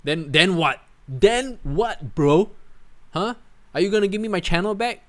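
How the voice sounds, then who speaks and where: A young man, talking loosely and conversationally to his stream audience. His pitch swings up and down a lot as he chats, and he keeps tossing in "man" and "guys" between thoughts. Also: unhurried. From Singapore. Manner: chatty, animated, peppers talk with "man", "guys" and "right?". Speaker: livestream host. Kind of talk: casual livestream chat, answering viewer comments.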